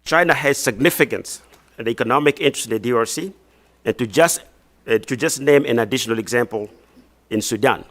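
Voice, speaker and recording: Man from congo, formal hearing testimony, measured reading, mid-pitched voice. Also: a bit bright. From Democratic Republic of the Congo. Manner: measured, reads prepared text with some restarts.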